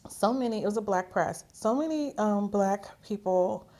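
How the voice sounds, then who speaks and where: A woman's voice: medium pitch, animated, moderate pace, warm tone. A middle-aged woman from Philadelphia, telling her story as an interview guest, with many fillers and quoted dialogue. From Philadelphia, USA. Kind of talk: conversational interview.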